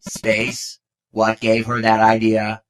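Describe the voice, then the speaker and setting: A man's voice: fairly low pitch, monotone, slow pace, poor audio. A man playing a skeptical, bookish student mage with a grudging manner in a game fan dub. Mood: puzzled, dry.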